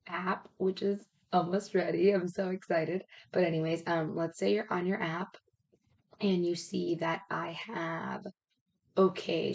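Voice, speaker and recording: A woman's voice, a little low and raspy, as if she is losing it. She speaks as a health and fitness coach in casual talk. Her delivery is informal and rambling, explaining step by step with frequent check-ins. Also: a little mellow.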